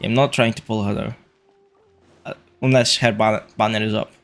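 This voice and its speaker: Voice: medium pitch, fairly even intonation, normal speed, soft background music. A video game streamer giving casual, off-the-cuff livestream commentary, muttering reactions to the game.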